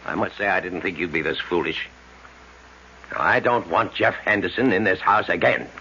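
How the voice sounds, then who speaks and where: Brief: pompous older father, deep gravelly voice, lo-fi hissy radio recording. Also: normal speed, sharp tone. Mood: stern. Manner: pompous, scolding. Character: stern self-righteous widowed old father. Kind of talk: 1970s radio drama acting. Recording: lo-fi vintage radio broadcast with hiss.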